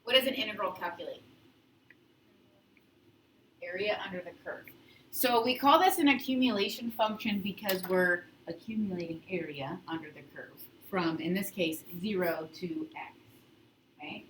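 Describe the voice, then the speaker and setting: A woman teaching high school calculus to a class, instructive, often checking understanding with short questions and prompts. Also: normal speed, a bit bright. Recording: echoey classroom, distant mic, faint noise.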